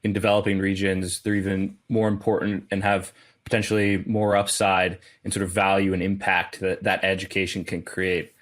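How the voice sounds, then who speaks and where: Man, interviewer, somewhat low voice, affirming, curious, frequent restarts. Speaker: interviewer host. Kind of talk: interview questions.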